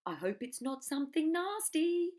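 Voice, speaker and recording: A woman's voice: high-pitched, very animated, moderate pace, a little mellow, pitched above the performer's own voice. A woman voicing a cheerful, innocent plump little bird in a children's storybook, chirpy and singsong. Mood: friendly. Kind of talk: children's storybook read-aloud.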